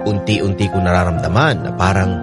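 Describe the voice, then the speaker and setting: A man's voice: deep voice, fairly even intonation, fairly quick, close to an everyday voice. A man voicing a conflicted letter-writer's confession as first-person narration in a Filipino radio drama, with a Filipino accent and reflective manner. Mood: conflicted, confessional. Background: piano music.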